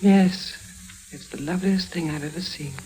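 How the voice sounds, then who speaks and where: A woman's voice: on the low side, moderate pace, mellow, slight background noise, lightly acted, low-quality recording. An actress playing a headstrong, romantic upper-class young lady in a dramatic old radio play style. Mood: dreamy. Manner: impulsive, dramatic. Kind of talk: 1950s radio drama acting.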